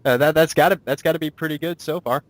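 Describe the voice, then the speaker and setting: A man's voice: fairly high pitch, fast talker, no room sound. A man hosting a casual, chatty interview in an enthusiastic, reactive manner. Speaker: interviewer, host.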